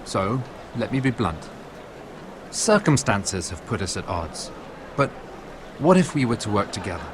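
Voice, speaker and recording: Casting: scheming noble merchant for fantasy game, low voice, very lively intonation, silky courtly delivery. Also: male voice, a little slow, noisy background. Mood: sly, persuasive. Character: scheming male noble merchant, smooth and calculating. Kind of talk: dramatic game cutscene acting.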